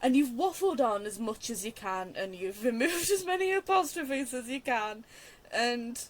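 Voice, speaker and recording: A woman's voice: on the high side, lively intonation, moderate pace. A chatty young woman giving casual, digressive, self-deprecating game commentary. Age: young adult. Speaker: game streamer.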